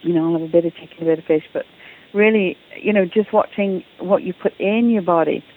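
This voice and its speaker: A woman's voice: on the low side, fairly quick. A woman with an English accent, a guest author talking eagerly and often repeating words as she starts sentences. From England. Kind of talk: casual interview talk. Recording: tinny phone line.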